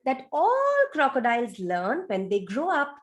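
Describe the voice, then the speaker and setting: A woman voicing a calm, patient father crocodile in a storybook telling. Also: high-pitched, unhurried, full character voice.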